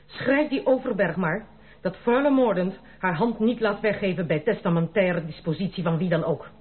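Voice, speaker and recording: A woman's voice: mid-range voice, normal speed, natural voice. An actress playing a proud young noblewoman in a Dutch radio play, speaking in a haughty, dramatic and formal way. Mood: cold, defiant. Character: proud young noblewoman, wounded, indignant, unyielding. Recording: muffled narrow-band radio play recording.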